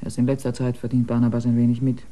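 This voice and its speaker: An actor in a German radio drama playing a devoted member of a poor village family, earnestly explaining. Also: male voice, medium pitch, completely flat delivery, quick pace, dark tone, close to an everyday voice.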